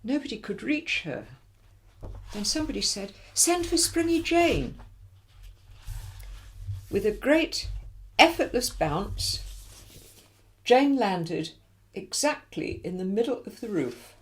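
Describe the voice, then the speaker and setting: A woman's voice: medium pitch, expressive, measured pace, slight background noise. A woman reading a children's storybook aloud expressively, with dramatic emphasis for young listeners. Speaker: volunteer story reader.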